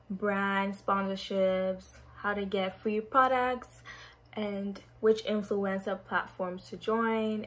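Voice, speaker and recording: A woman's voice: mid-range voice, a bit bright. A young woman from Ghana chats to the camera in her bedroom. She talks in an earnest, chatty way and restarts her sentences loosely as she goes. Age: young adult. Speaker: vlogger. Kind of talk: casual introductory vlog. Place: bedroom, indoors. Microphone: camera in front at close range.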